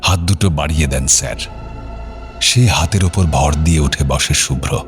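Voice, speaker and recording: A male narrator tells a dramatic story in a very low voice. His delivery is measured and somber, with faint music playing behind him. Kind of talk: scripted audio drama narration.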